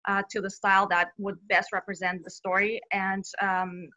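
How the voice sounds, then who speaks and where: A woman's voice: mid-range voice, little pitch movement, moderate pace, pushed and bright, highs cut off. A woman from Bulgaria, a children's book author answering interview questions cheerfully, with frequent laughs and fillers.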